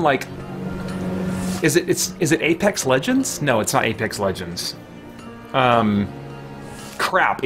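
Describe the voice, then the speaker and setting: A man hosts a gaming live stream with a middle-pitched voice. He thinks aloud in a casual, rambling way, often correcting himself, with faint music playing behind him. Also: fairly bright tone. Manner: rambling, self-correcting, chatty. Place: small home room, indoors. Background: game music.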